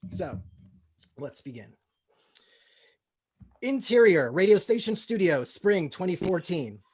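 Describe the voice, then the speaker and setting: A man's voice: sits high, very animated, slow, a little mellow, breathy edge. A man hosting a comedy table read, switching between casual chat and scripted stage-direction narration.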